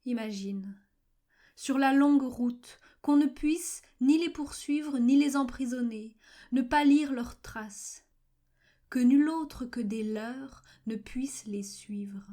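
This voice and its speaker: A woman reciting literary poetry in measured, fragmented phrases with frequent pauses, at times breathy and near-whispered. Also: fairly high pitch, mellow. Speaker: poetry reader. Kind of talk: slow literary poetry recitation for a video poem.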